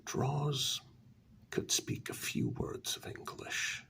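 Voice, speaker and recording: A man playing a grave, troubled asylum staffer in a soft-spoken ASMR role-play, telling a dark story in slow, halting phrases with a breathy, gravelly half-whisper. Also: a little hiss or hum. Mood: grave, measured. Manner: slow, halting, deliberate short phrases with long pauses.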